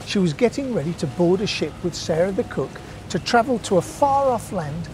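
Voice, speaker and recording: A woman narrating a bedtime story with gentle, expressive pacing and dramatic pauses. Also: medium pitch, noisy background.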